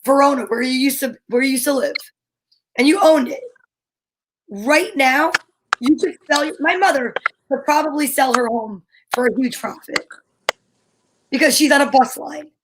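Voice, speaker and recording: A woman's voice: high-pitched, normal speed, long pauses, background noise. A middle-aged American woman chatting casually as a talk show co-host, blunt and emphatic in her opinions. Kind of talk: casual chat.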